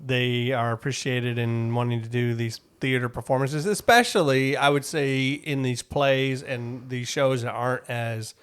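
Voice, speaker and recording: A man's voice: medium pitch, steady tone, unhurried, few pauses, clear, resonant tone. An American man hosting a casual podcast chat, talking in an enthusiastic, informal, rambling way. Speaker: podcast host.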